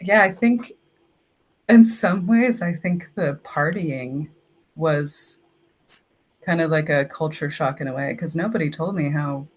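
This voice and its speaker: A woman's voice: low voice, measured pace, slightly rough. A young woman from Texas, a guest on a podcast, telling stories in a chatty way and often saying "like". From Texas, United States. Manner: chatty, uses "like" often, storytelling. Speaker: guest, English language assistant. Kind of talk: casual interview.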